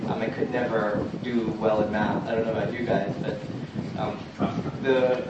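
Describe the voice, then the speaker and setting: A man's voice: lowish voice, flat delivery, moderate pace. A man from Guyana speaking informally as a panelist at a community forum, addressing the audience directly in a conversational way. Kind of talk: informal public panel speech. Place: large echoey indoor event room. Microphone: distant microphone. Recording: very echoey, noisy, muffled, distant room recording.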